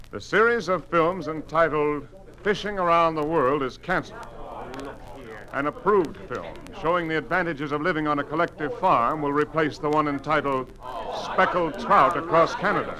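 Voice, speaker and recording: An actor playing a principled, frustrated museum director in a radio drama, speaking formally in a measured, emphatic way. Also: male voice, a little high, pushed and bright. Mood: grave, formal. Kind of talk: mid-century radio drama acting. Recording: noisy old radio recording.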